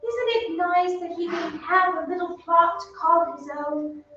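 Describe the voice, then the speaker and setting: A female performer playing an earnest, excitable seven-year-old girl in an old-time radio style stage drama. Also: extremely high pitch, unhurried, exaggerated voice. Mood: gentle, thoughtful. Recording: echoey stage, distant mic, muffled, faint noise.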